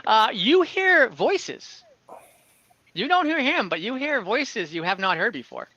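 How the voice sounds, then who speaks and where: A man's voice: extremely high pitch, sing-song, measured pace, pushed and bright, no echo, highs cut off. A man narrating an online tabletop fantasy game as game master, explaining things conversationally over a voice call.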